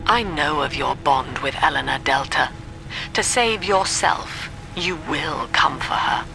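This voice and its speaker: A controlling game villain, cold and solemn. Her voice creaks as she makes menacing pronouncements, with clear music behind. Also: low-pitched, animated, measured pace, full character voice, low-quality recording. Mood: cold, threatening. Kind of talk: video game villain acting.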